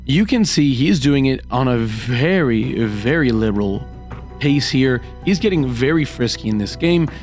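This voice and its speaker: Hyped, slightly hoarse man narrating poker. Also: medium pitch, animated, a little slow, a little mellow. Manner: hyped, dramatic play-by-play. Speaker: poker vlogger. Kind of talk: voice-over narration of poker hands. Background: background music.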